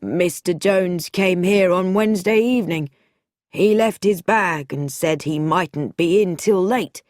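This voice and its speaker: A woman's voice: on the low side, measured pace, darkish tone, no echo, studio quality, natural voice. A woman voicing a polite, formal male hotel receptionist.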